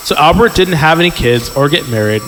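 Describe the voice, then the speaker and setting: A man's voice: on the high side, expressive, fairly quick, a bit bright, rough recording. A man reading a story aloud informally as a podcast host, stumbling over lines and tossing in joking asides.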